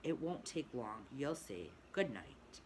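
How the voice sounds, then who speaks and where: A woman's voice: very deep voice, big pitch swings, slow pace, darkish tone, a little hiss or hum, exaggerated voice. A woman voicing a loyal, homesick male water rat in a storybook read-aloud, speaking in a stern but wistful way. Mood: hushed firm.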